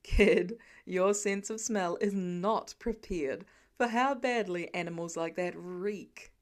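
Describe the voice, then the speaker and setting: A woman's voice: fairly low pitch, takes it slowly, voice pushed down. A woman voicing a dry, teasing father who is mildly exasperated, in an audiobook story. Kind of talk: audiobook character voice.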